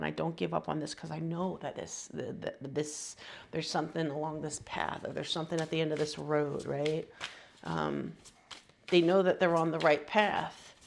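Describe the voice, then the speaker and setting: A woman's voice: deep voice, gravelly, a little hiss or hum. A woman with a cold, sounding sniffly, giving a casual, rambling tarot reading full of slang and fillers. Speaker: tarot reader.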